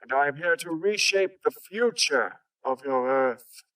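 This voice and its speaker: A man's voice: a little high, expressive, unhurried, fairly bright tone, distant mic, poor audio. A man playing a cold, commanding living machine in a comic dub, making formal, grandiose declarations. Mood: imperious, calm.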